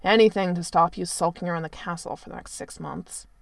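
A woman's voice: fairly low pitch, fairly quick, gravelly, no room sound, voice pushed down. A woman voicing an irritable young prince who drawls and snaps in exasperation. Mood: exasperated.

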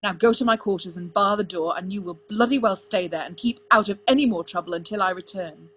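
Woman acting a proud, snapping young prince, loud background noise. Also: medium pitch, a little fast, natural voice. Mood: commanding. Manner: clipped, commanding, snapping. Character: proud, bitter young crown prince, stubborn and angry.

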